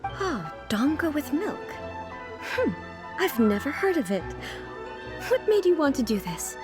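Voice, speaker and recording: A woman playing a composed, curious and naively frank female ruler in a video game, speaking in a measured, formal way. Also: high-pitched, big pitch swings. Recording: faint music behind the voice.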